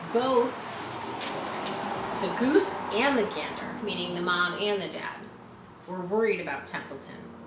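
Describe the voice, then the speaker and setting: A woman's voice: medium pitch, fairly bright tone. A woman reading a storybook aloud to children expressively, with explanatory asides. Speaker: storybook reader. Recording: echoey room, faint background noise.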